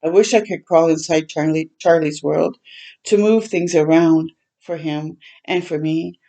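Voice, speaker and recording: A woman hosting a children's storytime, reading a picture book aloud in a gentle, expressive way with tender asides to listeners. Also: deep voice, measured pace. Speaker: children's read-aloud host.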